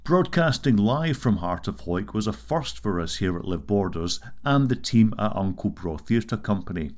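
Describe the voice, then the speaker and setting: A man presenting in scripted narration with an even delivery. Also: lowish voice.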